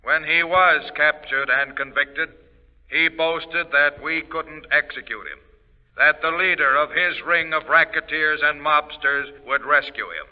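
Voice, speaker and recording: A man's voice: on the high side, unhurried, phone-quality sound, natural voice. Commanding prison warden voiced by a man, formal speech, phone-thin old radio audio with long reverb. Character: stern, authoritative male prison warden. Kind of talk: 1940s radio acting.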